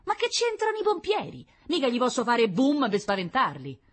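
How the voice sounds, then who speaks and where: A man's voice: extremely high pitch, wildly swooping pitch, normal speed, a bit bright, voice pushed up, heavily acted. A woman voicing a flustered young male bar waiter, protesting and bewildered.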